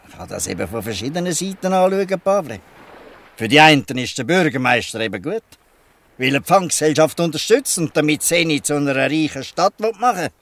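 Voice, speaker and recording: An actor with a Swiss German accent playing a weary, good-natured old fisherman who explains things haltingly in a dialect audio drama. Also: on the high side, lively intonation, a bit bright. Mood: wry, resigned.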